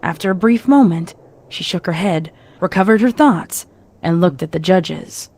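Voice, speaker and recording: A woman's voice: lowish voice, expressive, normal speed, darkish tone. A woman reading scripted fiction as a narrator, in a dramatic storytelling style.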